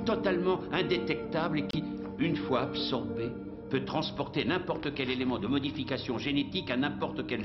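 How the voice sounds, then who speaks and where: A man's voice: a little high, natural voice. A man voicing an articulate, theatrical figure who explains sinister schemes in a French-dubbed cartoon. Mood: intrigued, explanatory. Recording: dull lo-fi TV audio, loud music.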